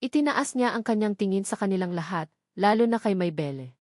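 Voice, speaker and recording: A woman narrates a dramatic tale in a medium-pitched voice. Her delivery is measured and made for storytelling. The recording is dry and free of room echo. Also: expressive, darkish tone.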